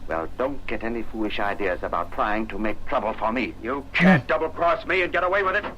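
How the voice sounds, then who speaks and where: An actor playing a blustering, defensive crook in an old-time radio crime drama, declaiming indignantly. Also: male voice, mid-range voice, lively intonation, fairly quick, fairly bright tone, lo-fi sound, slight background noise, natural voice. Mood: indignant, threatening. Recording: old radio recording, chatter over it.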